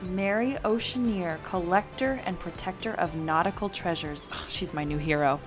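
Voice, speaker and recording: A woman's voice: on the low side, moderate pace, music in the background, close to an everyday voice. A woman playing a curious, enthusiastic and slightly bossy adventurer in an audio drama. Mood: excited. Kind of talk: scripted audio adventure acting.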